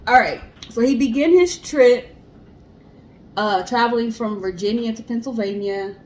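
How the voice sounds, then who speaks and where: A woman co-hosting in an expressive, chatty way, telling stories casually. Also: on the high side, unhurried, a little hiss or hum. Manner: chatty, expressive, acts out imagined remarks. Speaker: co-host. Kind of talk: casual chat and informal storytelling.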